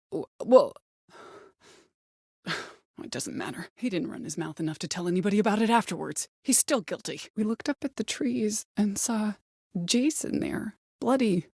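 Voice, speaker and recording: An actress playing a vengeful, bitter middle-aged mother in a video game, commanding and threatening, with deliberate delivery and cold control. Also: mid-range voice, a little mellow, breathy edge, dry recording, studio quality. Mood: pained recollection. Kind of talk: dramatic video game voice acting.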